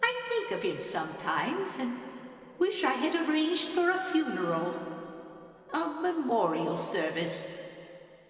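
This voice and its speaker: An actress voices an eccentric old widow in a mystery audio play. Her high voice swoops through slow, halting, insinuating phrases. It sounds far off, in a long, heavy hall reverb. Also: big pitch swings. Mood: brooding. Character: eccentric older widowed woman, brooding and secretive. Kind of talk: audio mystery drama, remembered dialogue. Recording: distant, heavily echoing hall reverb.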